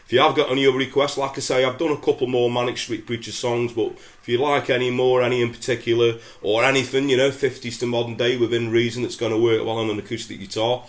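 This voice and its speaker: English guitar tutor, middle-aged, stuffed-up nasal voice, relaxed and rambling, mid pitch. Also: male voice, quick pace, hardly any pauses. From England. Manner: chatty, relaxed, many fillers. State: head cold, nasal. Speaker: guitar teacher. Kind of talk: casual guitar lesson with singing. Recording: roomy sound with loud acoustic guitar.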